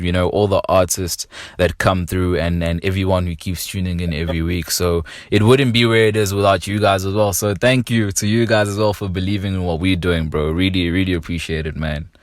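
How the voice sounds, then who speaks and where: Deep-voiced South African man, podcast host. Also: fairly even intonation, normal speed, no echo, studio quality. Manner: slangy, enthusiastic, appreciative. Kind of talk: casual chat.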